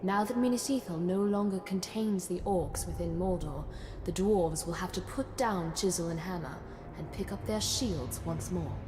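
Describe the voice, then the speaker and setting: A woman playing a solemn, thoughtful chronicler of a besieged fantasy city in a video game, narrating in a measured, grave and reflective way. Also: lowish voice, background noise, lightly acted. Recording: echoey hall reverb under music.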